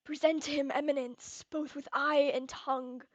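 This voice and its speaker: A young woman acting a brooding, tormented, murder-plotting king in Shakespearean verse, moving between hushed and declamatory delivery. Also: sits high, flat delivery, normal speed, no room sound, highs cut off, lightly acted. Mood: brooding, secretive. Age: young adult.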